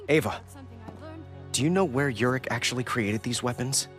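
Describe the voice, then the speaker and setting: A man's voice: medium pitch, moderate pace, natural voice. A man playing an eager, curious, upbeat teenage boy adventurer in a video game cutscene. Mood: curious, matter-of-fact. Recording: music behind the voice.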